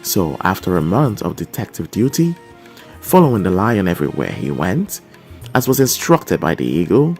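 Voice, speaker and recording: Male narrator for a dramatic fable, lowish mellow voice, measured storytelling, faint music behind. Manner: measured, expressive, deliberate phrasing.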